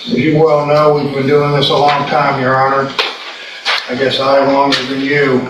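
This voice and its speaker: Echoey, monotone older American male lawyer. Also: mid-range voice, recorded from a distance. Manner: halting, rambling delivery. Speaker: defense attorney. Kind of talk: courtroom closing argument. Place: large indoor courtroom. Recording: noisy, echoing courtroom recording.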